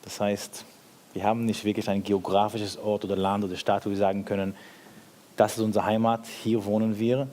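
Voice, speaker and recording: A man speaking as a panel guest in a discussion, thoughtful and hesitant, with frequent pauses. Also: fairly low pitch, level tone, a little mellow, slight background noise. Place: event hall with audience.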